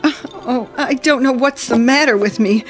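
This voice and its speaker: A woman's voice: sits high, normal speed, soft background music, lightly acted. A woman acting as a nervous, flustered mother and hostess in a radio drama, speaking hesitantly.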